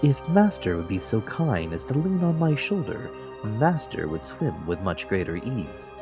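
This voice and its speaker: Man voicing a calm, deferential young manservant, raised pitch. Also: unhurried, over music. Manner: polite, formal, addresses master in third person. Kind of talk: dramatic audiobook character reading.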